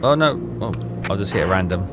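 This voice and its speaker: A man's voice: low-pitched, lively intonation, fast, heavy background noise. A man doing casual gameplay commentary, thinking aloud in a tentative, self-questioning way. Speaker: game player and commentator. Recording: game music behind the voice.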